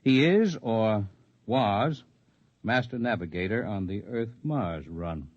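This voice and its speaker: An actor playing a composed, articulate leader of an underground freedom movement in 1950s radio drama style, speaking in measured, formal, persuasive speeches. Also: male voice, on the low side, lively intonation, no echo. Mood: calm, instructive. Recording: dull lo-fi vintage radio recording.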